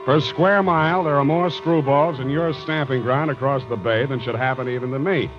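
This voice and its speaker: A man's voice: mid-range voice, steady tone, normal speed, natural voice. An actor playing a wry, hard-boiled male private detective in a laconic 1940s radio style. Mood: wry, narrating. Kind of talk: 1940s radio detective acting, narration and dialogue. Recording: muffled old radio recording, music behind.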